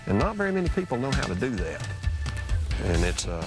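A man's voice: mid-range voice, big pitch swings, fairly quick, over music. A middle-aged man, hosting a fishing show outdoors by a lake. He explains fishing techniques in an easygoing, folksy way. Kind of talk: instructional fishing demonstration. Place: outdoors on a lake, on a boat and on the shore.

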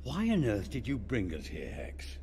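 A man's voice: lowish voice, wildly swooping pitch, normal speed, a little mellow, a little hiss or hum. A man playing an urbane, wry older hotel manager in a video game, refined and dryly polite. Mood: impatient, polite. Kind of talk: video game dramatic dialogue.

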